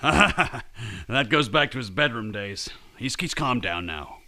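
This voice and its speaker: Man as gruff bright-edged ranch boss. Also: mid-range voice, lively intonation, takes it slowly, full character voice. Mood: hearty. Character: gruff ageing American ranch-owning boss. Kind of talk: audio drama acting of a 1930s mystery.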